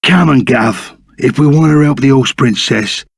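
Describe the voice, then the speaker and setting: An actor playing a gruff, loyal, blustering Cockney ex-bandit in a video game, full of rough, matey slang. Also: male voice, medium pitch, unhurried, no room sound, clean studio sound. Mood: rough, insistent. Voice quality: gruff, gravelly. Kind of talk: video game character acting.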